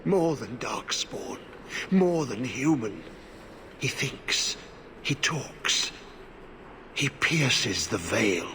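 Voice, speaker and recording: An actor playing a half-mad, corrupted old warden in a video game, haunted and cryptic, speaking in halting fragments. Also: male voice, mid-range voice, lively intonation, sharp tone, a little hiss or hum, heavily acted. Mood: bleak, tormented. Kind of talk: video game cutscene acting.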